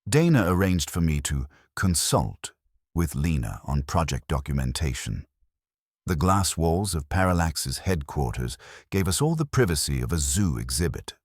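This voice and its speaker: A man's voice: rumbling low, big pitch swings, moderate pace, husky, no room sound, clean studio sound. A man reading scripted first-person detective fiction as an audiobook narrator.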